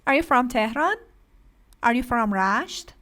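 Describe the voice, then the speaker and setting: A woman's voice: fairly high pitch, lively intonation, measured pace, sharp tone. A woman with a Persian accent teaching English to adult beginners, patiently explaining grammar and asking students to repeat. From Iran. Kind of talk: classroom lesson for adult beginners.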